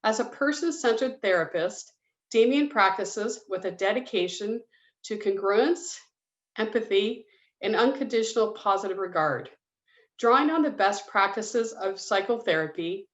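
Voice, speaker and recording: A woman hosting a webinar, reading a businesslike scripted introduction on a video call. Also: medium pitch. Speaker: public education coordinator and webinar host. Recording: dull roomy video-call audio.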